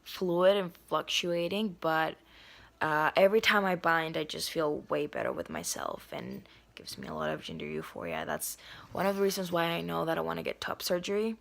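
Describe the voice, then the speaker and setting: A young adult nonbinary vlogger talks casually to the camera at home. The voice is a little hoarse and raspy, and the talk is earnest, full of ums and likes. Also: mid-range voice, normal speed, a bit bright. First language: Spanish. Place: indoors at home.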